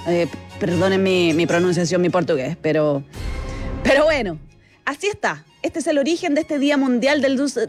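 A woman with a Chilean accent presenting a chatty live radio show, speaking directly to listeners and her colleague in a conversational way. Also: fairly high pitch. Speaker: radio host. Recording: music bed behind studio radio voice. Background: background music.

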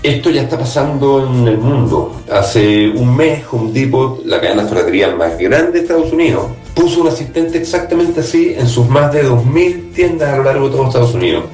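A man with a Chilean accent reads a persuasive sales pitch and speaks straight to the listener. His voice sounds a little off-mic in a roomy, echoey space. Faint music plays under him. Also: lively intonation, brisk, few pauses, darkish tone, distant mic. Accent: Chilean Spanish. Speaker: startup CEO. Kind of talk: scripted pitch.

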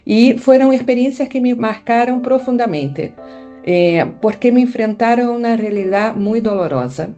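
A woman's voice: medium pitch, mellow. A middle-aged woman from Brazil with a Brazilian Portuguese accent, a psychologist answering interview questions in a reflective manner with many hesitant fillers. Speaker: psychologist, foundation founder.

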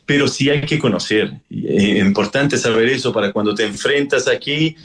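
A man's voice: medium pitch, moderate pace. A man from Chile, a classical singer explaining his craft in a radio interview, thoughtful and full of fillers.

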